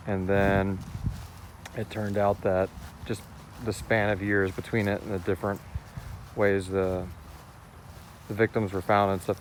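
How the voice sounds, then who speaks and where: American man, low voice, informal rambling co-host answering questions casually, some ambient noise. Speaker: co-host and writer of a horror fiction series. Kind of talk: casual Q&A chat. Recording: clear background noise and ambience.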